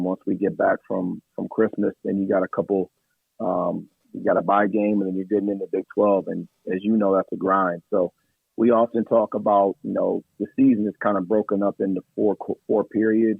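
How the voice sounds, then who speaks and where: A man with a hoarse, raspy voice talks over a tinny phone line. He is an assistant coach answering questions in a steady, measured way, often pausing on fillers. His voice sits low with a gravelly edge. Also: no echo. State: hoarse from yelling at practice. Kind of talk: radio interview answers.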